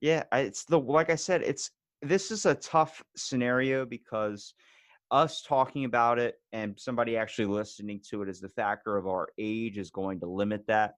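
A man's voice: mid-range voice, steady tone, moderate pace, no room sound, clean studio sound. Blunt, profane man on a podcast. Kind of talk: casual podcast conversation.

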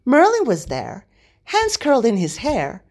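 A woman reading a story aloud as narrator, in an expressive scripted style. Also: on the high side, unhurried. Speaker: podfic narrator.

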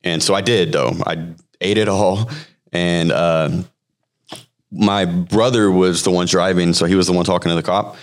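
Deep-voiced man in his thirties, podcast guest sharing his testimony; loose and halting, lots of fillers. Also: fairly even intonation. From Hawaii. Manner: halting, frequent fillers and restarts. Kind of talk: personal testimony, conversational storytelling.

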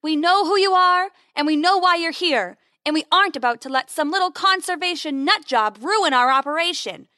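A woman voicing a menacing male poacher leader, loud and threatening, in a science fiction story. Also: extremely high pitch, expressive, moderate pace, hardly any pauses, no echo, studio quality, pitched above the performer's own voice, full character voice. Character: tall, bawling male poacher gang leader, menacing villain.

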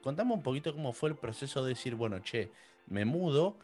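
A man's voice: mid-range voice, big pitch swings, brisk, darkish tone. A young Argentine man in his twenties hosts a casual interview. He talks informally and in a friendly way, prompting his guest along. Music plays faintly behind his voice. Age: 20s. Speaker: podcast host and interviewer.

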